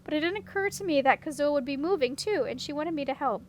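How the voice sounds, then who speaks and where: An earnest, headstrong young princess from a storybook , read by a woman. Her voice is high, with faint noise behind it. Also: expressive, fast talker. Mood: apologetic. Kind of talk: storybook character reading.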